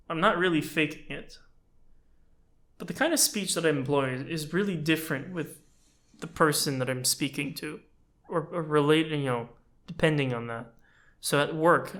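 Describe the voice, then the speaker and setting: A man's voice: fairly high pitch, fairly bright tone. Reflective young man, native French speaker. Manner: thoughtful, chatty, self-deprecating. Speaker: YouTuber and animator. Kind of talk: casual reflective talk.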